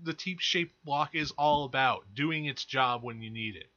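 A man's voice: mid-range voice, a little slow, sharp tone, highs cut off. A man co-hosting a podcast in casual chat, arguing his opinions with a wry manner. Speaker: podcast co-host.

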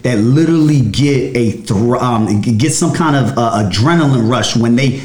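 A man in his thirties hosting a casual chat, thinking out loud and affirming the others often. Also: mid-range voice, normal speed. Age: 30s. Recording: roomy studio sound.

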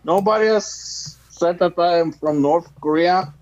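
A man chatting casually and haltingly, searching for words, on a dull lo-fi mic. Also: sits high, no echo. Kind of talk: casual chat.

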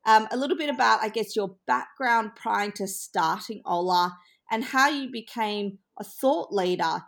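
A woman's voice: mid-range voice, a little slow, gravelly, fairly bright tone. Upbeat woman podcast host. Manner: upbeat, reads a prepared introduction. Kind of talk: scripted podcast intro and interview.

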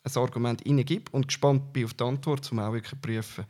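A man's voice: medium pitch, fairly even intonation, fast talker, a little mellow. A middle-aged man from central Switzerland with a Swiss German accent, lightly Uri-tinged, answering interview questions as a political candidate with many hesitant filler sounds. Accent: Swiss German, mildly Uri dialect. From Canton Uri, Switzerland.

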